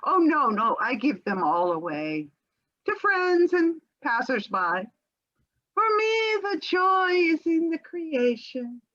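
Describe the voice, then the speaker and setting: A woman plays a genteel, evasive housewife in a comic readers theater sketch, captured in dull, lo-fi audio. Her high voice swoops and flutters through theatrical, over-polite excuses. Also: big pitch swings, slow pace, a bit bright, heavily acted. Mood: breezy, evasive.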